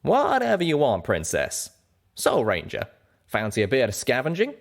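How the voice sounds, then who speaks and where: Male reader as folksy pony scavenger, country drawl, brash, animated pitch, storybook character. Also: moderate pace, creaky, heavily acted. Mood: exasperated, teasing.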